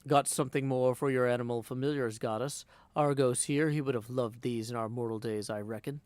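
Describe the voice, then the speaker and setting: A man voicing a gruff, folksy mortal man from a video game, plain-spoken and kindly. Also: medium pitch, fairly even intonation, brisk, a little mellow, no echo, voice pushed down, lightly acted.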